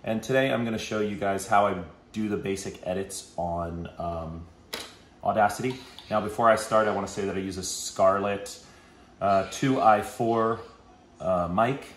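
A man in his forties, a podcast host, walking through a casual how-to at home with a low voice and a relaxed, rambling manner full of fillers. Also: moderate pace, a little hiss or hum. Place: home kitchen, indoors.

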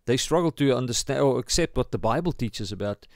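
A man with a South African accent giving an informal sermon as a pastor, halting as he searches for words. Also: mid-range voice, animated, creaky, no room sound, studio quality. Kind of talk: informal sermon, Bible teaching.

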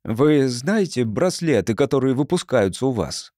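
A man's voice: mid-range voice, animated, normal speed, mellow, dry recording, studio quality, close to an everyday voice. A man voicing a scheming, sarcastic small-time entrepreneur in an audiobook, speaking emphatically and snappily. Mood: insistent.

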